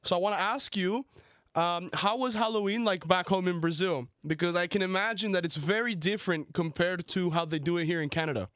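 A man's voice: high voice, brisk, fairly bright tone, no echo. Young man in casual, dry-sounding chat. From Colombia and the United States. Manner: informal, slangy storytelling. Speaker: show host.

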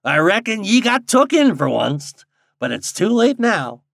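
A man's voice: sits high, very animated, measured pace, gravelly, fairly bright tone, no echo, clean studio sound, pitched above the performer's own voice, full character voice. A man voicing a sly old American backwoods guide with a folksy rustic dialect in a storybook reading. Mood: chuckling, knowing.

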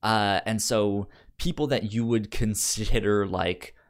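A man chatting casually as a podcast co-host, halting, with a stammer that repeats sounds and syllables. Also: fairly low pitch, steady tone. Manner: halting, conversational. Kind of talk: casual podcast chat recommending comics.

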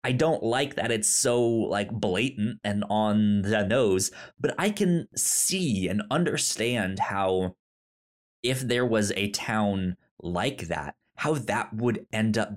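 A man co-hosting a casual TV review podcast, speaking haltingly and often restarting his words. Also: fairly low pitch. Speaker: podcast co-host.

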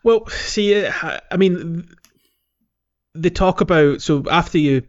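A man's voice: fairly high pitch, slow, highs cut off. A man co-hosting a podcast, casually discussing a video game in a chatty, rambling way with mild understatement. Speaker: podcast co-host.

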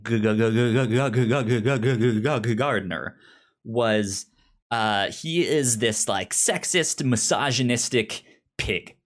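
A man who stutters on syllables and sounds, talking casually and haltingly as a podcast co-host explaining pop culture. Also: medium pitch, a bit bright.

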